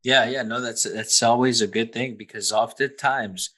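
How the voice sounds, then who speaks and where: Bright-voiced stammering male podcast host. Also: mid-range voice, fairly even intonation, moderate pace. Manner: stammering, repeats words at starts. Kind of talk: casual podcast interview.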